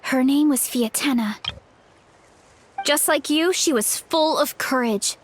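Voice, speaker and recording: A woman's voice: sits high, slow pace, slight background noise. A woman voicing a cheerful, friendly young woman of a tribe who is a bit nervous about change. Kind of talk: game voice acting.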